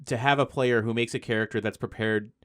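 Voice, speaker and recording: A man talking casually on a podcast, explaining his ideas in a rambling, animated way. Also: mid-range voice, a little fast, clean tone, dry recording, studio quality. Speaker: game designer and podcast guest.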